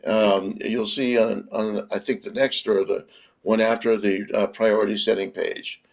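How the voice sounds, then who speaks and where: A man presenting a product as a webinar guest, explaining technical details informally with halting pauses mid-phrase. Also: lowish voice, little pitch movement, vocal fry. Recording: dull, lo-fi online call audio.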